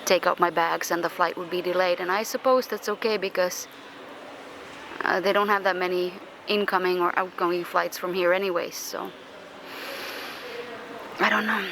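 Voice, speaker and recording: A woman's voice: fairly low pitch, steady tone, moderate pace, vocal fry, pauses often, a bit bright, slight background noise, poor audio. A woman talking casually to her camera as she travels through an airport, chatty and sarcastic, swearing freely. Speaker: vlogger. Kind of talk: casual travel vlog monologue. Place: airport terminal.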